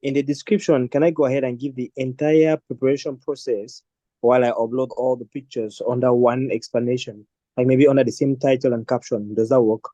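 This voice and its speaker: A man's voice: medium pitch, fairly even intonation, fairly quick, warm tone, no room sound. A man from Cameroon, a training participant, asking a question on an online call. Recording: dull lo-fi call audio.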